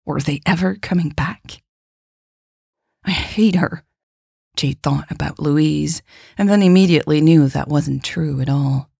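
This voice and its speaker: A woman narrates a scripted story in a low voice. It is husky and gravelly, and the sound is close and dry, as befits a hosted fiction podcast. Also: normal speed, mellow, no echo. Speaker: podcast host and author narrating her story.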